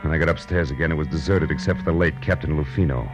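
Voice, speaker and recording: An actor playing a tough, wisecracking American private detective in a 1940s radio noir drama, with clipped, sardonic delivery. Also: male voice, a bass voice, fast, music in the background. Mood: dry, wry. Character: hard-boiled, wisecracking male private detective, world-weary and tough. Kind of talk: 1940s radio noir acting and first-person narration.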